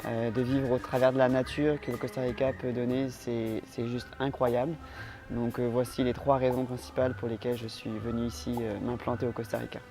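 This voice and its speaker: A calm man from France talks at a middle pitch, pausing often with filler sounds as he answers questions. Music plays clearly beneath his voice throughout. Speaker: interior designer, interviewee. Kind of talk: casual interview answers. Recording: music playing underneath.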